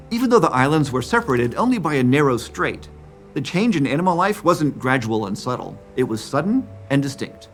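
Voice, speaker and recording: A man in his fifties giving scripted, clear documentary narration about science. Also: on the high side. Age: 50s. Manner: clear, explanatory.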